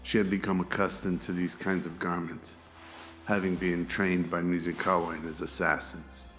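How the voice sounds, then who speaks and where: Deep, even-toned male story narrator. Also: normal speed, soft background music. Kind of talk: scripted audio drama narration.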